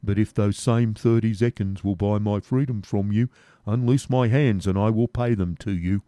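Male narrator as a dogged, surly servant; dark low tone, dry sound. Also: fairly even intonation, moderate pace, no room sound, lightly acted. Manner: dogged, grumbling.

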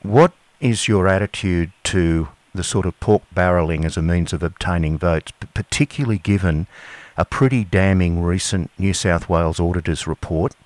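A man's voice: deep voice, normal speed, darkish tone, no room sound. An Australian man hosting a local radio interview, talking casually with some hesitation. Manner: casual, hesitant, friendly chat. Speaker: radio host.

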